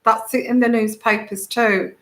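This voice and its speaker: A woman with a soft, soothing voice, hosting a casual tarot livestream, rambling with many fillers and greeting viewers by name. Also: fairly high pitch, steady tone, moderate pace, echoey room. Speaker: tarot reader and channel host.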